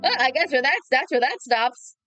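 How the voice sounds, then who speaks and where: A woman's voice: sits high, lively intonation, moderate pace, fairly bright tone. A woman livestream host reading a visual novel aloud, with playful casual reactions. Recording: background music under the voice. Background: music.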